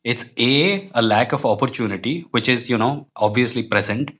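A man's voice: mid-range voice, moderate pace, fairly bright tone, husky. A man with an Indian accent talking conversationally as a podcast guest, reflective and opinionated as he lays out his points. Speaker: guest, comic book writer.

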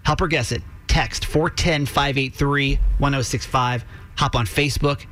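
A man hosting a radio show, running a guessing game with brisk, teasing patter. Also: medium pitch, fairly even intonation, a bit bright. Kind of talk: morning radio banter.

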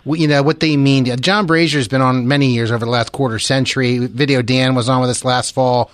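A man's voice: mid-range voice, fairly even intonation, fairly quick, a bit bright, no echo. An American man hosting a radio show, interviewing a guest in a conversational style.

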